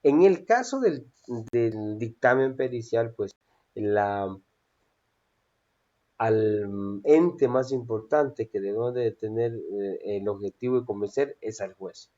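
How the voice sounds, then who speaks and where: Mellow low-voiced man lecturing. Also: expressive, takes it slowly, lo-fi sound. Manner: hesitant with frequent "eh" fillers and checking "¿sí?". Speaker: instructor. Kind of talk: lecture on public speaking.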